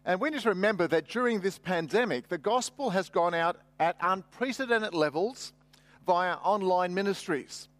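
A man's voice: high-pitched, moderate pace, fairly bright tone, no room sound, faint music behind. A middle-aged man preaching as a pastor, conversational and emphatic on key phrases. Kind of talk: sermon with personal anecdotes.

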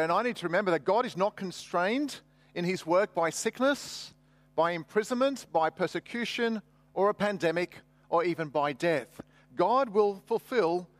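A man's voice: high-pitched, no room sound. A middle-aged man preaching as a pastor, conversational and emphatic on key phrases. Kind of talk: sermon with personal anecdotes.

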